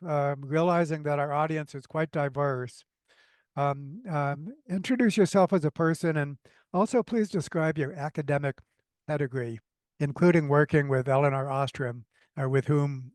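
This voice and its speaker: Enthusiastic podcast host, dry close sound. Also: male voice, a little high, darkish tone, no echo. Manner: enthusiastic, measured, some fillers. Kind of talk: interview introduction.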